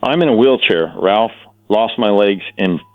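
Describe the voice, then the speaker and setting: A man's voice: lowish voice, animated, a little slow. A man voicing a terse war veteran calling in to a radio show in an audio drama, in clipped statements over a phone line. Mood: terse, steeled. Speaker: radio caller. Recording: narrow tinny phone line, music behind.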